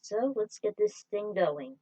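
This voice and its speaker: A woman narrating and recapping a fan-written story in a casual, chatty way, pausing to correct herself. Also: mid-range voice, expressive, warm tone, low-quality recording. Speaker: fan fiction reader and narrator.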